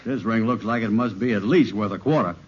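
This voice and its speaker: An actor playing a slow, gullible, easygoing man in an old radio sitcom, with a drawling, folksy manner. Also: fairly low pitch, natural voice. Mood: easygoing, puzzled. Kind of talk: 1940s-50s radio comedy acting. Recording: muffled old radio recording, loud hiss.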